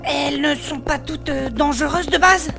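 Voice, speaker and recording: A woman's voice: high voice, steady tone, normal speed, gravelly, over music. A woman playing a talkative, easily exasperated young adventurer with a casual, bickering manner in a comedic fantasy audio drama. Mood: curious.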